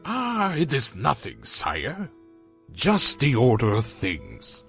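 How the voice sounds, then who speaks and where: A man voicing a kind, timid elderly stallion servant with humble, courteous and halting speech in a story reading. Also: medium pitch, wildly swooping pitch, a bit bright, faint music behind, voice pushed up, exaggerated voice. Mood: warm, humble. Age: older. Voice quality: gravelly, raspy. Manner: slow, halting, formal and courteous. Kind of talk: acted character voice in a story reading.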